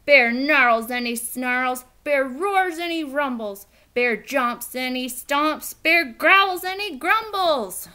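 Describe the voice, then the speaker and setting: A woman reading a children's picture book aloud in an expressive, theatrical, emphatic storytelling style. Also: high-pitched, slow pace, few pauses.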